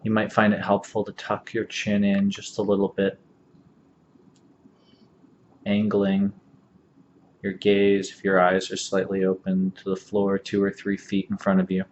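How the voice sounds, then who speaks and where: A man leading a guided meditation as an instructor, speaking calmly and gently with long, unhurried pauses. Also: on the low side. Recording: dull lo-fi audio, faint background noise.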